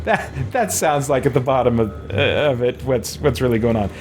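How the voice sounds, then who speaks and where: A man's voice: mid-range voice, lively intonation, normal speed, a little hiss or hum. A man giving casual gameplay commentary, thinking aloud in a rambling, musing way. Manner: rambling, musing, trails off into side thoughts. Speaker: gaming streamer, let's player.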